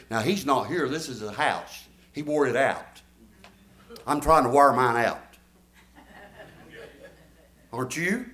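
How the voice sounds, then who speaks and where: A man's voice: mid-range voice, fairly bright tone. A man, preaching a folksy, emphatic sermon and drawing responses from his congregation. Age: 70s. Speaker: pastor. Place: church. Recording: echoey church room.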